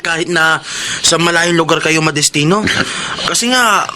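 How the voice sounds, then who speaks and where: High-voiced eager Filipino young workman. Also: moderate pace. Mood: curious, respectful. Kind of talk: radio drama acting. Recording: loud crowd noise behind.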